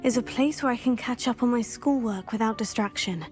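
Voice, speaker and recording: A woman's voice: a little high, a little fast, a little mellow, soft background music, natural voice. An actress voicing a curious young female student in a video game, polite and plain-spoken.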